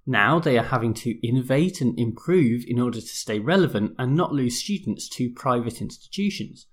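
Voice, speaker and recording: Slightly hoarse man explaining law. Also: medium pitch, lively intonation. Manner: measured, explanatory, reads from a script. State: a bit hoarse, losing his voice. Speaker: law podcast host. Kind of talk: scripted legal commentary podcast.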